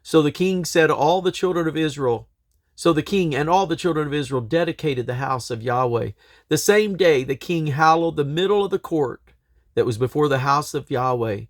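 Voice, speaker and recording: A man reading scripture aloud in a solemn, declamatory way, with measured phrases and deliberate pauses. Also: fairly high pitch, dry recording, studio quality. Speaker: Bible reader.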